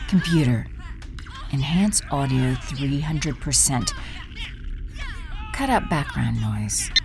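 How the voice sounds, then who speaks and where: A woman's voice: very deep voice, animated, music in the background, full character voice. A woman playing a cold, scheming alien villainess in an audio drama, speaking imperiously and sinking into menacing murmurs. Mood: commanding. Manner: deliberate, imperious, drops into breathy menacing murmurs. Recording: faint background noise.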